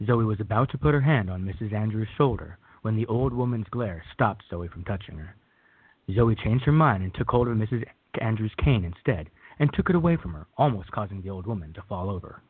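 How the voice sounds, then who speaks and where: A man's voice: on the low side, animated, a little fast, husky, no room sound. A man narrating a scripted radio drama as a storyteller. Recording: tinny, phone-like narrow band.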